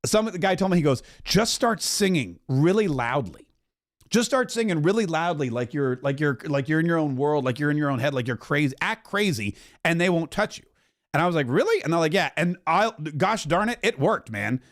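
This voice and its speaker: A man in his forties hosting a political commentary podcast, talking emphatically and rambling, now and then breaking into loud singing. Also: a little high, expressive, fairly quick.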